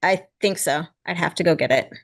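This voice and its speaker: A woman's voice: fairly low pitch, fairly quick, no room sound. A woman from Ohio in the United States, answering questions briefly and agreeably during a webinar reading. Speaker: webinar participant.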